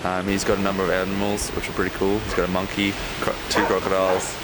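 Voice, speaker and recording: Man, low pitch, halting matter-of-fact travel diary, very noisy camera recording. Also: monotone. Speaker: travel documentary presenter. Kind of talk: unscripted travel video diary.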